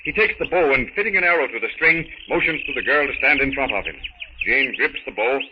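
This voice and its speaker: A man's voice: mid-range voice, lively intonation, fairly quick, natural voice. A man narrating a jungle adventure serial in an earnest, dramatic 1930s radio style on a muffled, hissy recording. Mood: earnest, suspenseful.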